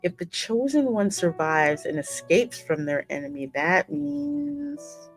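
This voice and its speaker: Expressive low-voiced woman narrating a game. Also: slow. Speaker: gaming streamer reading the game heroine's part aloud. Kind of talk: expressive read-aloud game narration with chatty asides. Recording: music running behind the voice.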